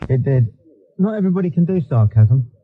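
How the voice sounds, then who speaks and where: A man's voice: medium pitch, animated, fairly quick. A British man hosting a live radio phone-in, talking in a sardonic, mock-indignant way. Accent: British English. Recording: lo-fi phone-like radio recording, faint music.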